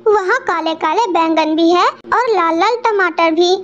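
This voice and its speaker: A woman's voice: extremely high pitch, unhurried, dull audio. A woman voicing a sly, greedy, boastful female crow in a children's cartoon, with a brash and cunning manner. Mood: excited. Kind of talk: Hindi children's cartoon dialogue.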